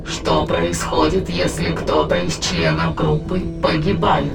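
Heavily creaky, calculating alien. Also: male voice, fairly high pitch, wildly swooping pitch, full character voice. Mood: probing. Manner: formal, measured, probing. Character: calm, calculating male alien intruder. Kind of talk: science fiction audio drama acting. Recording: loud music behind.

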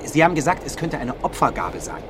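A man's voice: on the high side, sing-song, quick pace, noisy background. An actor playing an inquisitive junior policeman questioning his superior in a radio crime drama. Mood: puzzled, curious.

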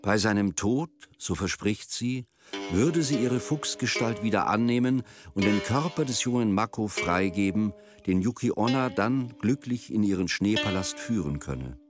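A man narrating a folk tale for a radio drama in a measured, literary storytelling delivery. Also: fairly low pitch, animated. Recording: music behind the voice. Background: music.

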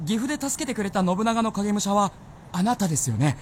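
A man's voice: high voice, very animated, a little fast, a little hiss or hum. A man playing a hot-headed, earnest young gunner with a fervent, boyish manner in a Japanese radio drama. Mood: grateful.

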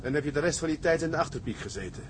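An actor playing a blunt tugboat captain, stern but caring, in a naturalistic drama. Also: male voice, lively intonation, very fast, lightly acted. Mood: stern, scolding. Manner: blunt, scolding. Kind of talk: naturalistic Dutch drama acting. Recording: clear engine rumble and ship noise.

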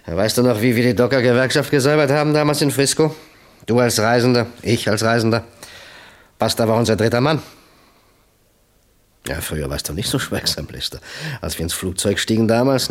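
An actor playing a chummy, boastful small-time gangster boss in a radio crime drama, talkative and jovial. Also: male voice, on the low side, lightly acted.

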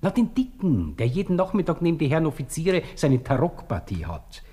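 An actor playing a servile, gossipy coffeehouse waiter with a husky voice and a Viennese accent in a radio drama. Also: male voice, fairly high pitch, sing-song, brisk, dark tone. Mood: eager gossip. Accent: Austrian, Viennese. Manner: obsequious, chatty, sing-song deference.

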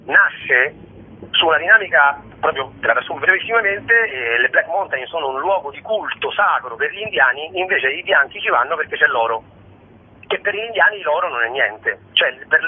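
Husky male interview guest, discursive and expressive, heard over a thin phone line. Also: on the high side, a little fast. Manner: discursive, expressive storyteller with rhetorical asides. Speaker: guest, stage actor and storyteller. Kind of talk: conversational radio interview.

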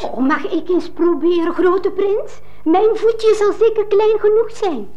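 Very high-voiced, vain stepsister. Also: female voice, a little slow, mellow, dull audio. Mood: ingratiating. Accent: Flemish. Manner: haughty, squabbling, theatrical. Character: vain, boastful young fairy-tale stepsister. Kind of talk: 1950s radio drama acting.

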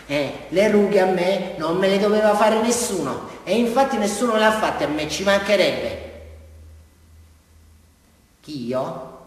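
In a very echoey hall, heard from off, an unhinged man from a prison cell, with a southern Italian accent. His voice is high for a man. He rambles boastfully and repeats himself in a volatile stage monologue. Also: animated, unhurried, pauses often. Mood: rambling, confiding. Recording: echoey hall, distant mic, faint noise.

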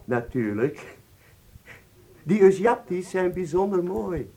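An actor playing an obsequious, evasive antiquities dealer in a radio drama, with an ingratiating, hesitant manner. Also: male voice, sits high, sing-song, slow, warm tone, full character voice. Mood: fawning. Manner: ingratiating, hesitant, pleading when pressed. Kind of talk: radio drama acting in Dutch.

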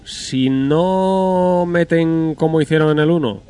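A man's voice: on the high side, slow, dark tone, smooth. Blunt, skeptical man. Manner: blunt, swears, skeptical, laughs often. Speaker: podcast co-host. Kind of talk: casual gaming chat.

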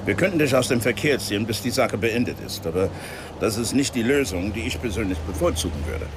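An actor playing a cynical, worldly embassy man in a radio drama, lecturing in a measured, patronising way with veiled menace. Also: big pitch swings. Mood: cold warning. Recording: noisy ambience with vehicle rumble.

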